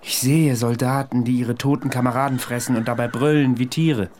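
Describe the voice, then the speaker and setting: A man's voice: medium pitch, steady tone, brisk, darkish tone, a little hiss or hum, natural voice. An actor in a radio play playing an earnest, haunted man with a dryly ironic, insistent manner. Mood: haunted, intense. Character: intense, wry, haunted man claiming to be Napoleon's veteran.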